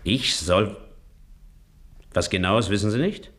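An actor playing an affable, educated and outspoken doctor in a German radio play, with an exclamatory way of talking. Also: male voice, fairly low pitch, slow pace, a bit bright. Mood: piqued, dismissive. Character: genial, educated gentleman doctor, outspoken and easygoing.

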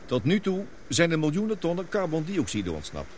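An actor playing a gloomy, guilt-ridden scientist in a radio play, speaking gravely and deliberately. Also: male voice, on the high side, huge pitch swings, darkish tone, a little hiss or hum. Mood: grave explaining. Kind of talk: radio drama acting.

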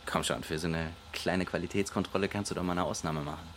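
An actor playing an easygoing young lab researcher who deals on the side, talking casually in a naturalistic radio drama. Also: male voice, low-pitched, fairly even intonation, fast talker, a bit bright, a little hiss or hum. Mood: teasing, guarded. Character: easygoing young male lab researcher dealing homemade speed. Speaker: research assistant.

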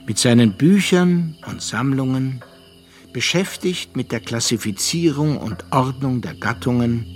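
An actor playing a reflective storyteller, narrating a literary radio play in a measured, deliberate way. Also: male voice, medium pitch, animated. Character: measured, reflective male storyteller recalling an acquaintance. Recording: music behind the voice.

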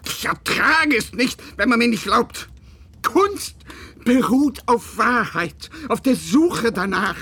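An actor playing a drunken, self-pitying old painter in a German radio drama, speaking in a theatrical, declamatory way. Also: male voice, very high voice, lively intonation, slow, bright, forceful tone, slightly rough, exaggerated voice. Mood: indignant. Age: older. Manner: theatrical, declamatory, emotionally volatile.

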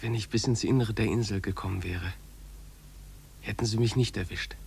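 A man's voice: on the low side, steady tone, normal speed, gravelly, a little mellow, slight background noise. An actor playing an eager, dreamy runaway boy in a 1950s German radio drama, speaking with fervent, pleading delivery. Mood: dreamy longing.

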